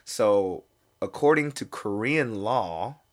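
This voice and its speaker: Joking man with a low voice. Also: animated, slow. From United States. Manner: joking, swears casually. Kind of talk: casual chat.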